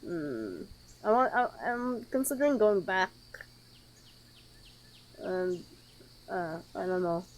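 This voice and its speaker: A woman's voice: lowish voice, lively intonation, slow pace, long pauses, slight background noise. A woman streaming a horror game, reading aloud and reacting nervously, often repeating herself.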